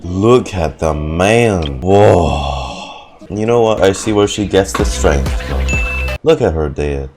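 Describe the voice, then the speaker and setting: A woman's voice: rumbling low, expressive, takes it slowly. A woman streamer giving casual, joking, sarcastic and sweary live reactions to a game, with music playing behind her.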